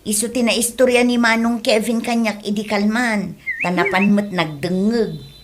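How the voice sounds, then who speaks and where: A woman's voice: medium pitch, fairly even intonation, normal speed, noisy background, natural voice. A woman acting a gossipy village neighbour in an Ilocano comedy radio drama, chattily passing on news. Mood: gossipy, concerned.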